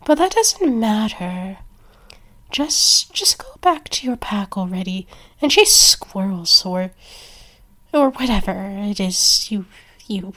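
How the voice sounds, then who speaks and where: Woman doing asmr roleplay acting, really unhurried delivery. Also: fairly high pitch, a bit bright.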